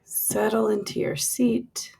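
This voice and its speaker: Slow, very creaky woman guiding meditation. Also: medium pitch, fairly even intonation, whispered, poor audio. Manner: soft, lingering phrasing, trailing into breathy releases. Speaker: meditation guide. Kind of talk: guided relaxation meditation, slow spoken instructions.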